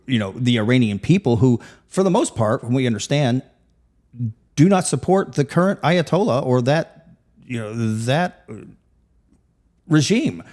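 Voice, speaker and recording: A man's voice: mid-range voice, darkish tone. A man hosting a talk show, interviewing a guest and asking long questions with frequent repeated words.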